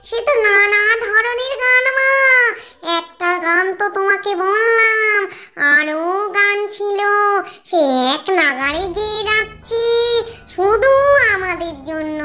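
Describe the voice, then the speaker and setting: A woman's voice: extremely high pitch, slow, pushed and bright, full character voice. A woman voicing a lovestruck young ghost bride in a cartoon, gushing and repeating herself girlishly. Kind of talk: animated cartoon dialogue.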